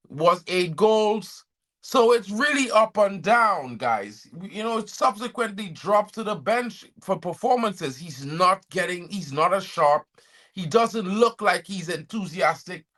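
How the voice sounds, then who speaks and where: A man from Trinidad and Tobago running his own football fan channel, moving between reading news copy and blunt, chatty opinions aimed straight at his viewers. His voice sits high and sounds bright and forward. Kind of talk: reading and reacting to transfer news.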